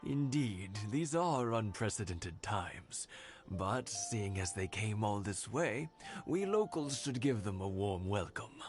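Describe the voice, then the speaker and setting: An actor playing a kindly, courteous elderly village husband in a video game. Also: male voice, on the low side, lively intonation, takes it slowly. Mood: grateful, gracious. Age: older. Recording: music behind the voice.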